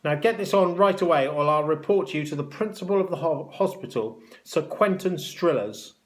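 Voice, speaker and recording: A man voicing a cruel, bossy hospital matron with a sharp, mocking, snapping delivery in a children's story. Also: fairly high pitch, normal speed, pitched above the performer's own voice, lightly acted. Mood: commanding. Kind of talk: children's story read aloud.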